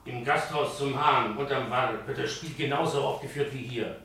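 An actor playing a stern, formal café innkeeper with an emphatic, curt way of talking, in a German radio play. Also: male voice, mid-range voice, moderate pace, gravelly, bright, forceful tone. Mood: stern, warning. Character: surly, stern café innkeeper, fussily polite to regulars. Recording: roomy echo, background noise.

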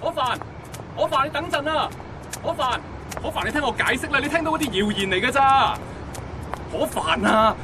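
A man's voice: very high-pitched, expressive, moderate pace, long pauses. A man playing a persistent, pleading young suitor in a Hong Kong Cantonese radio drama, mixing in English words. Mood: urgent pleading. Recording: loud street traffic behind the voice.

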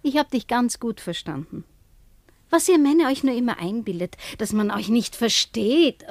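A coquettish woman in a radio drama, her voice high and swooping with every tease. She is capricious and slippery, mocking her old lover lightly, and the sound is close and dry. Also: lively intonation, normal speed, dry recording. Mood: coaxing, mocking. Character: flirtatious, teasing, evasive woman meeting her former lover. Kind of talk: German radio play acting.

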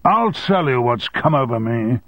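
A man's voice: medium pitch, big pitch swings, unhurried, no echo, voice pushed up. A man doing a blustering short-tempered boss. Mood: angry. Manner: blustering, shouting threats.

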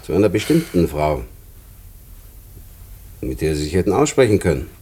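Dark-voiced, deep prison inspector. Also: male voice, sing-song, husky, exaggerated voice. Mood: concerned, probing. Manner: measured, probing, matter-of-fact. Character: calm, paternal, plain-spoken male prison inspector. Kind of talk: German radio play acting.

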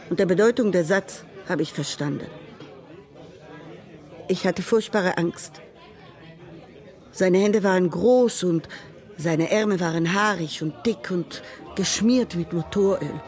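An actress playing an elderly grandmother who tells a grandchild about her childhood, speaking haltingly with broken grammar. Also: on the low side, darkish tone, some chatter behind. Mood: grave. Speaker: narrator figure telling a childhood memory. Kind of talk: radio drama monologue.

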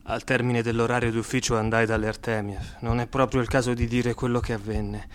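A man's voice: mid-range voice, level tone, brisk, clean tone, natural voice. A man acting in an Italian radio drama as a loyal, distressed young friend who recounts a tragedy with earnest emotion. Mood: subdued grief.

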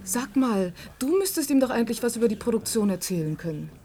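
An actress playing a wry, chatty married office clerk in a German science-fiction radio drama. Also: female voice, fairly high pitch, very animated, fast, warm tone. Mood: matter-of-fact, friendly. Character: Mary, married dispatch clerk, mildly flustered and wry.

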